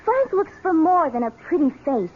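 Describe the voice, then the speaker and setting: High-voiced, impassioned young horsewoman. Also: very animated, moderate pace. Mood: lovestruck, defensive. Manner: theatrical, impassioned. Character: slender, horse-loving, lovestruck young woman, headstrong and intense. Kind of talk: 1970s radio drama acting. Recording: dull, noisy 1970s radio recording.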